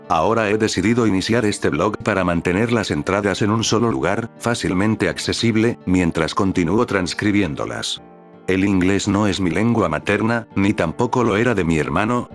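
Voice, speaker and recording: A man with a very low, deep voice tells an eerie story in a calm, measured way. Soft music plays quietly behind him. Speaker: narrator. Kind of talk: scripted narration of a creepy story.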